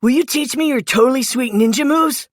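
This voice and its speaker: Cocky little frog warrior for a kids' story, female reader, quick patter, raised pitch, eager and friendly. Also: dry recording, clean studio sound. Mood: boastful, eager. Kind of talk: children's storybook character voice.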